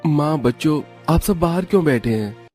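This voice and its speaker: Man voicing irritable village son, voice raised high. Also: lively intonation, moderate pace, darkish tone, soft background music, lightly acted. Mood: annoyed. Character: irritable grown village son, embarrassed before neighbours. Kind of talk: Hindi cartoon story dialogue.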